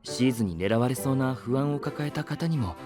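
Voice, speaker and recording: A man voicing a gentle, polite, composed young gentleman knight in an anime-style voice drama, soft-spoken and formal. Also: medium pitch, lively intonation, fairly quick, close to an everyday voice. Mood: polite, thoughtful. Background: background music.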